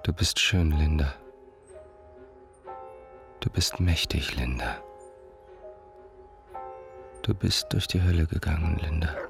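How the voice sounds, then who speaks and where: An actor playing a sinister, possessive man intoning slowly and hypnotically in a radio thriller. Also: extremely low pitch, gravelly, over music, full character voice. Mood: menacing, hypnotic. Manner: slow, intoning, deliberate. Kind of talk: German radio thriller acting.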